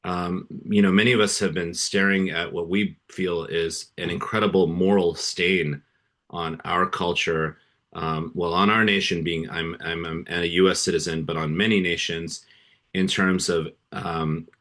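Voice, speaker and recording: Middle-aged male podcast host, very deep voice, earnest and halting. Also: level tone, a bit bright. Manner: earnest, hesitant, many fillers and restarts. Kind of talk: interview hosting.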